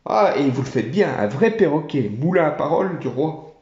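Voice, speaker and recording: A man voicing a calm male traveller who asks probing, dry questions. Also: a little high, moderate pace. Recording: roomy, dull home recording.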